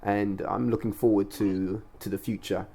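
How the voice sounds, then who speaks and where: A man hosting a casual interview, speaking in a measured, earnest and affirming manner. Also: fairly low pitch, flat delivery, vocal fry, warm tone. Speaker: radio show host and class organiser.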